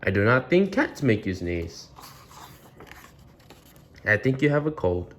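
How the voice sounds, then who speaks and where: A man voicing a matter-of-fact, reassuring cat doctor from a storybook, measured in manner. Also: fairly low pitch, big pitch swings, slight background noise. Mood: calm, firm.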